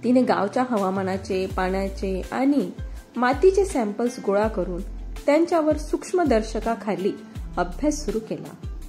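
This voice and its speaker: Bright-toned lively woman storyteller, mellowed edge. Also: medium pitch, moderate pace, soft background music. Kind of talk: scripted narration of a moral story.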